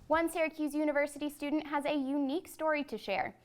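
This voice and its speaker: A young woman anchoring a student newscast, reading in a clear, measured way. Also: high voice, fairly even intonation, over loud music. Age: young adult. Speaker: news anchor. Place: student TV studio.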